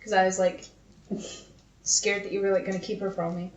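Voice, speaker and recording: A woman's voice: mid-range voice, flat delivery, moderate pace. A woman co-hosting a podcast in casual, sweary, giggly chat, recounting personal stories. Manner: informal, sweary, often giggly. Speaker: podcast co-host. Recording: echoey room, slightly dull sound.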